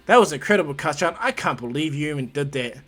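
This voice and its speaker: A man's voice: a little high, animated, fast talker, a bit bright. A man voicing a cheeky, eager and polite teenage boy hero student from an anime, chirpy with innocent mischief. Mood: upbeat, admiring.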